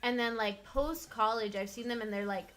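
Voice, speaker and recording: A woman's voice: on the high side, normal speed, fairly bright tone. A young woman from Miami chatting casually as a co-host, leaning on "like" as she talks. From Miami, United States. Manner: chatty, heavy use of "like". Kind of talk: casual chat.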